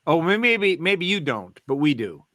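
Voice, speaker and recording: Bright, higher-voiced man chatting casually. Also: very animated, moderate pace, dry recording, clean studio sound. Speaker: podcast co-host. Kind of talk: casual chat.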